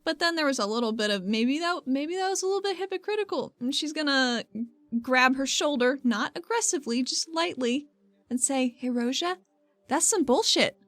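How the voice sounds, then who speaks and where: A woman's voice: sits high, lively intonation, brisk, a bit bright, no room sound. A woman playing a tabletop game, chatting and narrating her character's actions informally, with casual swearing. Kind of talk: casual roleplay chat and narration.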